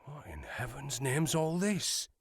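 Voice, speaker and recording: A man playing a gruff, loyal servant of a country house in a period audio drama, deferential yet blunt. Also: mid-range voice, sing-song, takes it slowly. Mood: baffled, wary.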